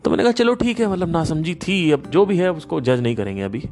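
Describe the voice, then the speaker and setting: A man hosting a podcast, giving a casual spiritual talk in an emphatic, animated storytelling manner. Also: fairly high pitch, quick pace, soft background music. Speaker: podcast host.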